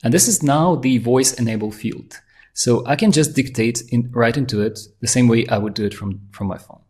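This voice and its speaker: A man presenting a software tutorial, walking through a demo in excited, fast talk full of restarts. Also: mid-range voice. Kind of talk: screen-share software walkthrough.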